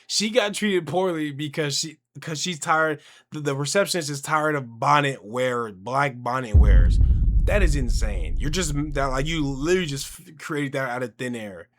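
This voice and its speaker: A young man hosting a casual reaction commentary, talking in an animated, conversational, slangy way. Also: mid-range voice, normal speed, slight background noise. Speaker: reaction video host.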